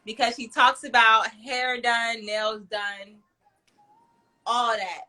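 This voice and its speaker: Chatty lady host, slightly high bright voice, slangy and gassing up her guest. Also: fairly even intonation, slow, soft background music. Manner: effusive, slangy, hyping up guest. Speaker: talk show host. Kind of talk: casual chatty interview.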